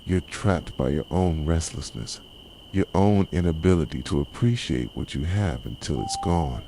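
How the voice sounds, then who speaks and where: Man playing a very deep, soft-spoken possessive boyfriend. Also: lively intonation, heavily acted. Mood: cold, resentful. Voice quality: soft, low voice. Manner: intense, deliberate, reproachful dramatic delivery. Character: possessive, jilted yandere boyfriend, bitter and obsessive. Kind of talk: ASMR roleplay monologue. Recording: clearly noisy, night insects and owl hoots.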